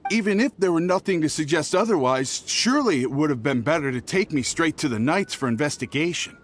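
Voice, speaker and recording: Casting: shifty male merchant, high-pitched, swooping delivery, hesitant and easily flustered, video game style. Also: animated, brisk. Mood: bewildered. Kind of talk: scripted anime-style video game voice acting. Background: game music.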